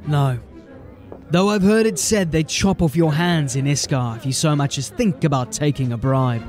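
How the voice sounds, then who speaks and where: Blunt, wry lawman of a fantasy city watch, played by a man, slightly high-pitched, grumbling. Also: normal speed, darkish tone, faint music behind, natural voice. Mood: wary. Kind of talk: fantasy audio drama acting.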